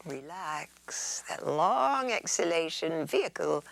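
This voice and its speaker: A woman teaching yoga in a soothing, unhurried way, guiding relaxation and gentle stretches and drifting into breathy near-whispers. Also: fairly low pitch, sing-song.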